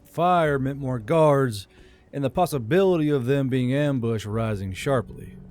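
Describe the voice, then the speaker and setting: A man's voice: medium pitch, a little slow, a little mellow, slight background noise. A man reading scripted fantasy fiction as an audiobook narrator. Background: faint music.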